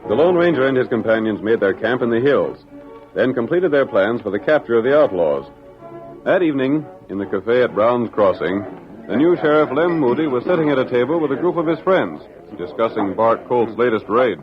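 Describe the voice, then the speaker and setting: A man's voice: mid-range voice, lively intonation, fairly quick, music in the background. A man narrating a scripted western serial as an old-time radio announcer. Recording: muffled old radio recording.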